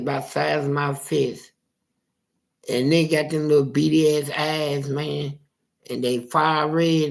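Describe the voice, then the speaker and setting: Gravelly man telling a street story. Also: a little high. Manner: animated street storytelling, acting out dialogue, profane. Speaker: interviewee telling his life story. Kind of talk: casual storytelling.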